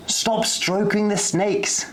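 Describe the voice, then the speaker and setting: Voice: medium pitch, steady tone, takes it slowly, roomy sound, a little hiss or hum, pitched above the performer's own voice, natural voice. A storyteller voicing an exasperated, bossy female schoolteacher who scolds in singsong commands in a children's storybook. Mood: scolding, exasperated.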